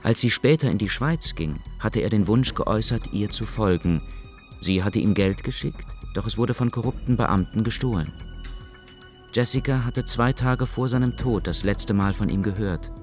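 Sober low-voiced male narrator. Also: normal speed, faint music behind. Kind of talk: documentary narration.

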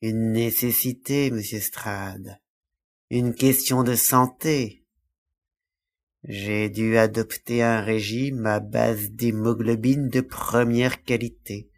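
A man playing a suave, menacing vampire count in an audio drama, speaking in a smooth, courtly manner. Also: lowish voice, steady tone, slow.

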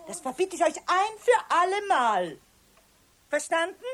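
An actress playing a stern mother, scolding children with sharp commands in a 1970s radio play. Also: extremely high pitch, very animated, a little slow, a crowd in the background, heavily acted.

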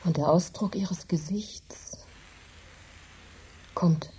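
Embittered, grieving peasant widow, low-voiced. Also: female voice, drawn-out words, slight background noise. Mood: hushed, trancelike. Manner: intense, dramatic, emphatic storytelling with hushed drops. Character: grief-stricken, embittered poor farm labourer's widow speaking through Rachel. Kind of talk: German radio drama monologue.

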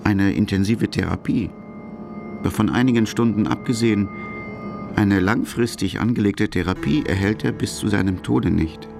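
A man's voice: lowish voice, normal speed, gravelly, over music. A man narrating a radio documentary in a sober, scripted manner, as a factual reporter of a criminal case.

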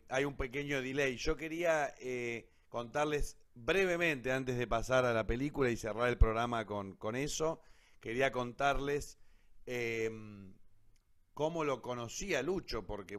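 An Argentine man hosts a cultural radio programme in a casual, unscripted way. His voice sits at an ordinary middle pitch for a man. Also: a little slow, fairly bright tone.

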